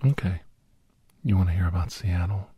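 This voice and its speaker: A man playing a weary, confiding doctor who hunts shapeshifters, telling his story in a hushed, halting, breathy ASMR style. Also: low-pitched, no echo, dull audio. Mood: hushed, reminiscing. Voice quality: breathy, often whispered.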